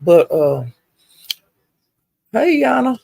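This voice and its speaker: A woman's voice: on the high side, big pitch swings, extremely slow, vocal fry, warm tone, husky. An older woman, chatting casually to live viewers as a livestream host in a folksy, rambling, salty-tongued way.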